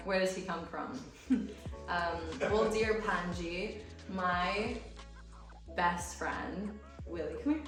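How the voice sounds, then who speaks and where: A young American woman, raised speaking Romanian, chatting and laughing through fan questions in a vlog. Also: mid-range voice. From United States. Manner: bubbly, chatty, laughs often. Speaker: fitness creator. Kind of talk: casual Q&A vlog. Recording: echoey room with music from a distance.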